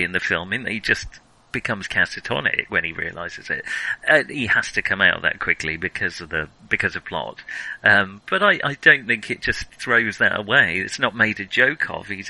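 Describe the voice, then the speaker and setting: A man with a fairly low, very bright and forceful voice talks through films as a podcast host. He thinks aloud in a casual, conversational way, and the sound is dry and close. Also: no echo, low-quality recording. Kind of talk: casual film discussion.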